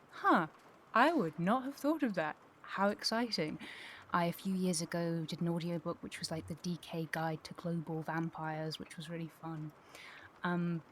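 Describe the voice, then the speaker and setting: A woman's voice: lowish voice, slight background noise. A woman chatting casually and enthusiastically as an actress being interviewed. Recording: faint background ambience.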